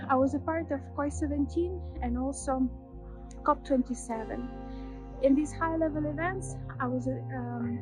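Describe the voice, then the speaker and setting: A young woman from Kyiv speaks English in a high voice. She makes a prepared appeal in an earnest, deliberate way. Music plays clearly beneath her voice. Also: rough recording. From Kyiv, Ukraine. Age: young adult. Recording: clear music bed underneath.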